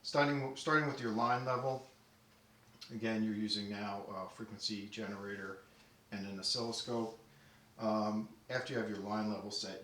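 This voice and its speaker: A man giving an unscripted technical walkthrough, explaining things in a halting, methodical way. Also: lowish voice, pushed and bright. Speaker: audio deck restorer demonstrating work. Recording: roomy, distant mic, faint noise.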